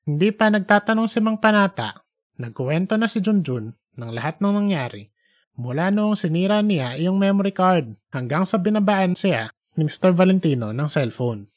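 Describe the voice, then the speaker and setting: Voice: medium pitch, expressive, moderate pace. A storyteller narrating an audiobook in a casual, humorous style.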